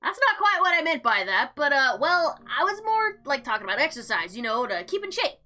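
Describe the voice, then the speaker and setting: A woman hosting a let's play, reading game text aloud with expressive, slangy commentary and sarcastic asides. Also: high voice, a little fast. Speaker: gaming video host.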